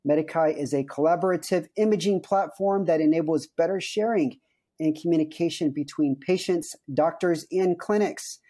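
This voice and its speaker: A man's voice: a little high, dark tone. A man from the United States hosting a startup podcast, chatty and jokey, laughing often. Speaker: podcast host. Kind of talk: upbeat podcast interview.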